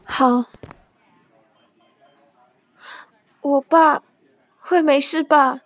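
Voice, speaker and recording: A woman's voice: sits high, fairly even intonation, slow. An actress in an audio drama playing a proud, troubled young woman in family distress. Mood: panicked, distraught. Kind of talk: modern Mandarin audio drama acting.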